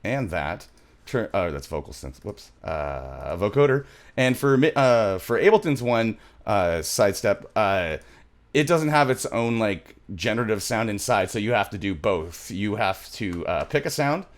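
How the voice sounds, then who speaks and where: A middle-aged man presenting a music software tutorial in a casual, loose, thinking-aloud way, recorded on a studio mic in a small home room. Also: lowish voice, lively intonation, unhurried. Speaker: music production tutorial host.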